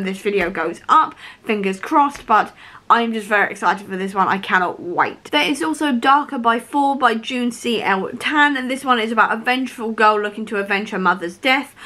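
A young woman in her twenties chatting to camera about upcoming books, her voice a little rough because she is ill and losing it, with lots of "really, really". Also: a little high, bright, forceful tone. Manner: chatty, repeats "really, really" for emphasis. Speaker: book vlogger. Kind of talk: casual book chat to camera. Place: small room, seated on a sofa.

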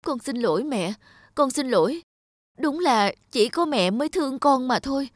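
A woman voicing a remorseful, emotional young man, a pleading son in an animated fairy tale. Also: high voice, normal speed, no echo, clean studio sound.

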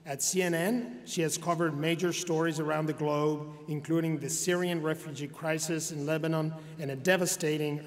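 A middle-aged man introduces a guest speaker at a university commencement, reading formally from a podium mic. His voice sits slightly high for a man, and his speech stops and starts with fillers. The long reverb of a large arena trails every phrase. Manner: formal, halting with frequent fillers. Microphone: podium microphone.

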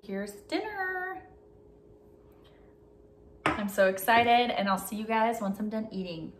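A woman's voice: on the high side, sing-song, takes it slowly, noisy background. Young woman vlogging in her kitchen, chatty and upbeat, camera on the counter. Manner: chatty, upbeat, talks to viewers while eating. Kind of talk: casual vlog chat. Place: home kitchen, indoors.

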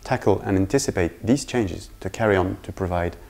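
Man, forties, low pitch, creaky voice, scripted presentation, department head, indoor lapel mic. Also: fairly quick, darkish tone. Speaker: head of transportation design. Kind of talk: scripted promotional presentation to camera. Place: indoor room with bookshelves.

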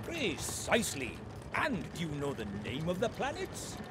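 An actor playing an excitable, welcoming rabbit space tour guide in a cartoon game, with theatrical delivery. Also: male voice, high-pitched, normal speed, heavy background noise, heavily acted. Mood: cheerful, explaining. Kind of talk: cartoon game character acting.

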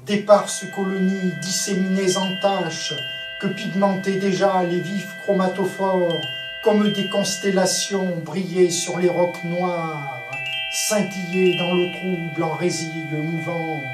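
A woman reciting an epic poem as a solemn declamation, with grave, measured and emphatic phrasing. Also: lowish voice, fairly even intonation. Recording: roomy echo, distant voice, music. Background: prominent musical backing.

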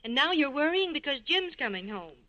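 A bossy, friendly young office worker in a 1940s radio commercial. Her voice is high, bright and very animated, with chirpy, brisk advice. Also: muffled recording, heavily acted. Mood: teasing, eager. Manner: chirpy, advising.

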